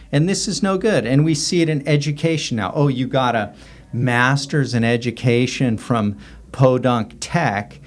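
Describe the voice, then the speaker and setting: A middle-aged American man talking casually as an interview guest, telling stories in a blunt, opinionated way. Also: medium pitch. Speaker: interview guest, author and former professor. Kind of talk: casual anecdotal interview talk.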